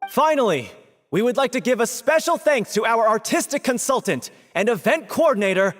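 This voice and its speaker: A formal stage announcer, with a very high, lively voice. He makes announcements in a game cutscene. His voice rings with long hall reverb. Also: normal speed.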